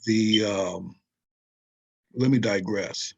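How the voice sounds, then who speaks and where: An older man with a husky edge to his voice, recorded on a dull, lo-fi mic. He tells history in long, digressive stretches and stresses his points as he goes. He pulls the listener along with rhetorical questions. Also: lowish voice, very slow, darkish tone. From Southern California. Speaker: guest, historian and author. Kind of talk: interview conversation.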